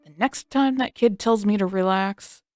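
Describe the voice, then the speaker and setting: A woman's voice: medium pitch, normal speed, a little mellow, faint music behind, natural voice. A woman voicing a sarcastic, worried teenage brother who grumbles and complains. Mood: grumbling. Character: young teen boy, sarcastic worried brother.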